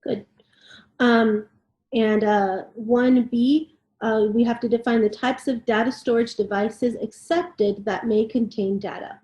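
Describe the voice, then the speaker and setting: A woman with a fairly high voice co-hosts an informal discussion, reading formal text and talking it over. Her voice rings with noticeable room echo. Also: fairly even intonation, takes it slowly, mellow. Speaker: co-host reading out a standard. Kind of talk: read-aloud and informal discussion. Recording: echoey room.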